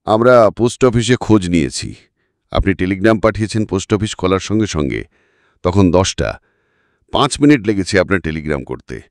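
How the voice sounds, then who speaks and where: A confident male detective played by a man reading a story, methodical and pressing. The pitch swings widely as he drives each point home, and the recording is close and dry. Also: expressive, fairly quick, dry recording. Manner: methodical, emphatic, accusing. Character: confident male private detective laying out a murder case. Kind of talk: dramatic audiobook character reading.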